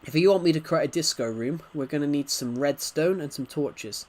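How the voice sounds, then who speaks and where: A man's voice: on the high side, moderate pace, darkish tone. A man hosting a casual gaming livestream, talking in a rambling, stuttering way as he answers viewer comments. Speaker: livestream host.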